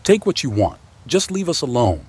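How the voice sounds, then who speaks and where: A man's voice: medium pitch, sing-song, moderate pace, a little mellow, husky. A man playing a weary, wry civilian leader of an outcast colony in a dramatized audio comic. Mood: pleading. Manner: wry, pointed.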